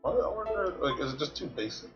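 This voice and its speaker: A man's voice: fairly high pitch, big pitch swings, fast talker, dull audio, over music. A man doing casual gameplay commentary as a streamer, thinking aloud and explaining things to himself. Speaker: video game streamer.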